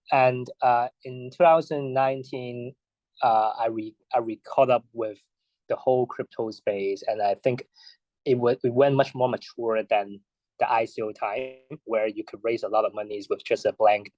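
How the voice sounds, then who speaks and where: A man in his thirties from Hong Kong, a guest answering interview questions in a halting way with many restarts and fillers. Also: lowish voice, darkish tone, no echo, low-quality recording. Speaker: guest, investor.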